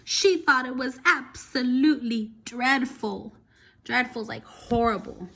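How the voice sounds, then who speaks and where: A woman teacher reading a picture book aloud to children, expressive, pausing to explain and ask questions. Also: fairly high pitch.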